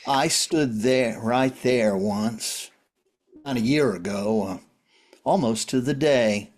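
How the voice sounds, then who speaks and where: A man playing a world-weary, wry survivor in a drama reading, talking in a halting, conversational way. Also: mid-range voice, darkish tone, lightly acted. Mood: reflective.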